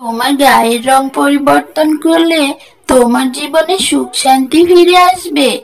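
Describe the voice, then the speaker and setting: A kindly wish-granting fairy from an animated fairy tale, voiced by a woman. She has a high voice and speaks in a measured, reassuring way. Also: creaky. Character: kind, gentle wish-granting female fairy.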